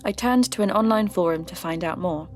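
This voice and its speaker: A woman playing a curious, polite podcast host and investigator, speaking in a measured, everyday way as in a scripted audio drama. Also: on the low side, faint music behind, lightly acted. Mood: earnest, narrating. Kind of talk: scripted narration and staged interview.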